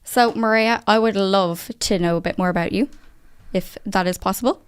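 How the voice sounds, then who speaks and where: A woman's voice: mid-range voice, normal speed, smooth. A woman in her twenties co-hosting a podcast, chatting eagerly and enthusiastically. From Ireland. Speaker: podcast co-host. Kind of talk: casual podcast chat.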